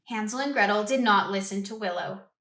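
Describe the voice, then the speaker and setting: Woman, bright and a little high, expressive storybook reader who quizzes kids; roomy echo. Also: a little fast. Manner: expressive, asks listeners questions. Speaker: read-aloud host for children. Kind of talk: children's storybook read-aloud.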